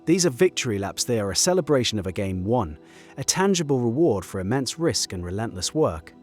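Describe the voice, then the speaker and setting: A man delivering scripted video-essay narration in measured, rhetorical short phrases. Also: mid-range voice, sing-song, darkish tone. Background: background music.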